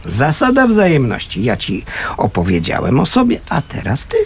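An actor playing a gruff but kindly homeless man in a radio play, with a gravelly voice and a colloquial, fatherly way of talking. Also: medium pitch, huge pitch swings, normal speed, slight background noise. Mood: matter-of-fact. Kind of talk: naturalistic Polish radio play acting.

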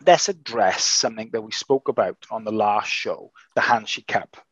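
A man with a croaky, raspy voice after illness, co-hosting a casual chat and often repeating words while he thinks. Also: fairly low pitch, moderate pace, dry recording, lo-fi sound. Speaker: podcast co-host.

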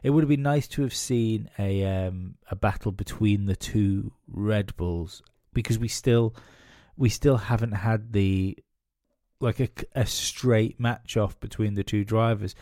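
Podcast host, male, stuffy with a cold, rambling casual chat in a deep mellow voice. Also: measured pace, no room sound, clean studio sound. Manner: rambling, many fillers and restarts. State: has a cold, nasal and sniffly. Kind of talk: casual solo commentary.

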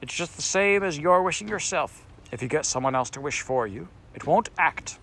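Man reading as a snappish magic sand creature, pitched up and loud, fussy and self-important. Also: lively intonation, moderate pace. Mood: impatient. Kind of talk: audiobook character voice.

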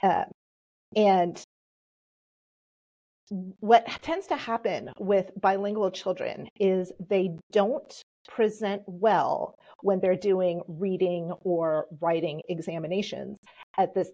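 Measured woman, Polish first language. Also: on the low side, warm tone, rough recording. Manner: measured, explanatory. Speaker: podcast guest. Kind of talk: relaxed interview answers.

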